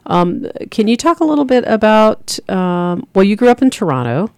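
A man hosting a morning radio interview in a studio, friendly and halting with uhs. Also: high-pitched, sing-song, creaky, a little mellow, clear, resonant tone, dry recording. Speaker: radio host.

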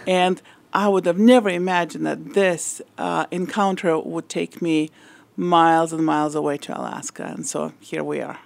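A woman's voice: deep voice, fairly even intonation, moderate pace, gravelly, no echo. A woman from the former Soviet Union, a musician guest on a radio interview, reflective and lightly laughing, with "uh"s between phrases. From Soviet Union, grew up in Kazakhstan. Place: radio studio.

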